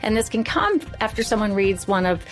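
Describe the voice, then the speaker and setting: A woman's voice: mid-range voice, very animated, a little fast, soft background music, low-quality recording. A woman co-hosting a podcast, introducing and talking through the discussion in a conversational way. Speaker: podcast co-host.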